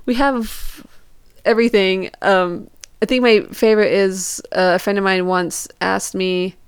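Casual podcast storyteller: young woman, clean smooth tone, dry sound, informal and conversational. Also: medium pitch, fairly even intonation, unhurried, no room sound. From Portugal and England. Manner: informal, peppered with "like", acts out quoted questions.

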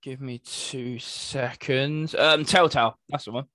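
A man's voice: medium pitch, fairly even intonation, unhurried, pushed and bright, no echo. A British man co-hosting a podcast, chatting casually about the news. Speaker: podcast co-host.

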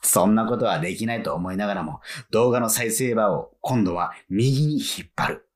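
An actor playing an excitable male fan who lives alone, narrating and muttering to himself in a flustered way in a radio drama. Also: on the low side, moderate pace, natural voice. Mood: narrating, bewildered. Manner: animated, self-muttering, easily flustered. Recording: faint music behind.

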